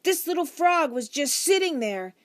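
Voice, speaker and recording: A woman's voice: high-pitched, big pitch swings, moderate pace, a bit bright, no room sound, pitched above the performer's own voice. A woman voicing an eager, chatty, innocent small boy in a children's audiobook, with guileless, slangy talk.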